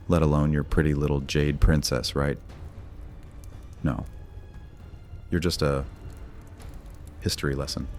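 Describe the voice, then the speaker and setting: A man's voice: extremely low pitch, darkish tone. A man playing a cocky, taunting immortal vampire gladiator in a dramatic audiobook roleplay, with a sneering drawl.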